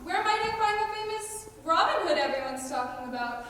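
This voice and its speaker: A teenage girl playing an eager, starstruck young woman joining an outlaw band, speaking earnestly in a high school stage play. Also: animated, fairly quick. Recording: distant, echoey hall with background noise.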